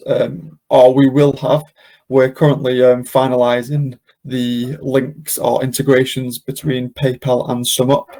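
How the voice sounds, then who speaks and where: A man leads a software demonstration in an informal, step-by-step way. His voice is relaxed and mellow, with very little melodic movement and some gravelly fry. He explains things off the cuff, often pausing on an um. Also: mid-range voice, rough recording. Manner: explanatory, unscripted and hesitant, with frequent ums. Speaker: presenter of a software demonstration webinar. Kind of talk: informal step-by-step screen-share tutorial.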